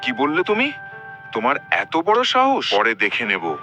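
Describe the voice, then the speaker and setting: A man's voice: mid-range voice, huge pitch swings, unhurried. A man voicing cartoon figures and narrating in an exaggerated, theatrical way. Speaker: narrator and voice actor. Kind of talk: cartoon voice acting and storytelling. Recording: voice-over with music.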